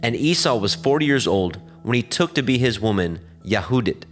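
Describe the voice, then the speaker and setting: A man narrating scripture in a solemn, formal and measured reading style. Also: mid-range voice, lively intonation. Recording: music under the voice.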